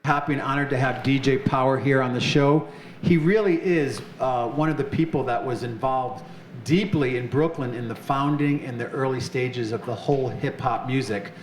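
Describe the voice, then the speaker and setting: A man's voice: medium pitch, normal speed, slight background noise. A man hosting a TV talk show, chatting enthusiastically with guests, with some fillers and restarts. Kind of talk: casual interview chat.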